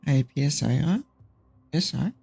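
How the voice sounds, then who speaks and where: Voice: mid-range voice, animated, normal speed, creaky, a crowd in the background, rough recording. A livestreamer chatting casually over a game in a laid-back way, giving brief reactions and talking to viewers. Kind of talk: casual gaming stream chat.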